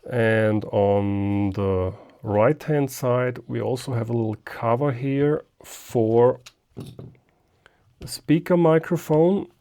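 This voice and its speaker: A man presenting a casual, unscripted product unboxing, thinking aloud haltingly as he handles things. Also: mid-range voice, warm tone, smooth. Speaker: amateur radio video host.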